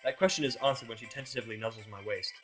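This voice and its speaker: A man's voice: mid-range voice, rapid-fire, a bit bright, soft background music. A man narrating a first-person fan fiction audio drama in a sardonic, exasperated way.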